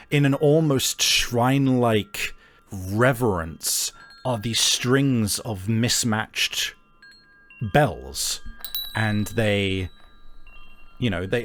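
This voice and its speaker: A man narrating a tabletop game as game master, improvising and often halting to search for words. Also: on the low side.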